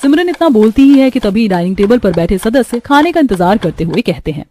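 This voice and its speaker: Higher-pitched woman narrating a story. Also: big pitch swings, fairly quick, mellow, no room sound.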